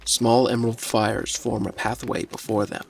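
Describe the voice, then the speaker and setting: A man reading scripted story narration in a measured, descriptive manner. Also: on the low side, steady tone, creaky, faint music behind.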